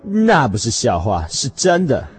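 Radio drama husband, played by a man: earnest and obsessed, highish, very animated delivery, theatrical and yearning, over music. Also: unhurried. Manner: theatrical, swinging from hushed reflection to fervent declaration.

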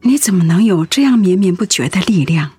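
An actress with a Taiwanese accent playing a devoted, self-effacing wife in a radio drama, caring in manner. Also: female voice, fairly low pitch, expressive, a little fast, mellow, breathy edge, no room sound, faint music behind. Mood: tender, subdued. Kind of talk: Mandarin radio drama acting.

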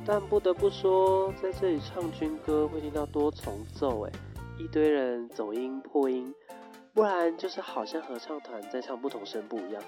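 A man's voice: a little high, normal speed, close to an everyday voice. A man with a Taiwanese accent playing a young army conscript in a radio drama, earnest, chatty and good-natured. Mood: playful grumbling. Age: young adult. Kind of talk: scripted radio drama dialogue. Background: background music.

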